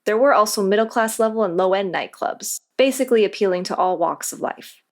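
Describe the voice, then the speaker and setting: A woman with a medium-pitched voice speaks as an interview guest. Her delivery is scripted and explanatory, with a conversational touch. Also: a little fast.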